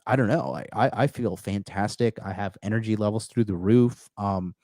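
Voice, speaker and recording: A man's voice: on the low side, normal speed, a little mellow, dry recording, clean studio sound. A man in his thirties hosting a casual livestream chat, talking brashly and profanely, rambling with lots of fillers and restarts. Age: 30s. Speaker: podcast host.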